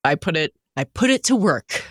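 A woman's voice: sits low, big pitch swings, moderate pace, breathy edge, dry recording, clean studio sound. A woman co-hosting a podcast, talking in a casual, conversational style. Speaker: podcast co-host. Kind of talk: casual chat.

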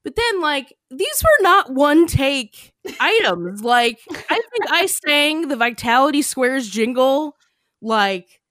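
A woman podcast host chatting casually, explaining things in an animated, giggly way. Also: high-pitched, takes it slowly, no echo. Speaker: podcast host and sketch creator. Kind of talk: casual chat.

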